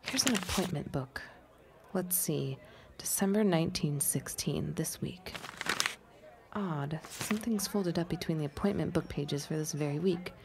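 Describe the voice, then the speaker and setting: An actress playing a nervous, plucky amateur spy who talks wryly to herself in a period audio drama. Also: female voice, low voice, a little slow, noisy background. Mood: curious, hushed. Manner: wry, theatrical self-talk.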